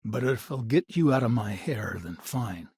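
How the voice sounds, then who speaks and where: Gravelly, gruff old family patriarch. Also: male voice, medium pitch, lively intonation, moderate pace, a little mellow, dry recording, clean studio sound. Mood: gruff, dismissive. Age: older. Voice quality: gravelly, husky. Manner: gruff, curt, folksy drawling phrases. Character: stern, proud, grizzled old grandfather of a frontier family. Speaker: video game character voice. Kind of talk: dramatic video game dialogue acting.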